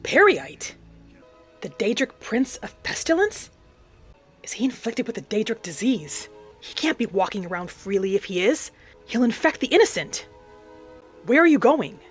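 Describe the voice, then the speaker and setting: A woman's voice: a little high, very animated, normal speed, pauses often. A woman giving in-character roleplay commentary over a game, musing aloud in a dramatic manner. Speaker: gaming roleplay narrator. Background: faint music.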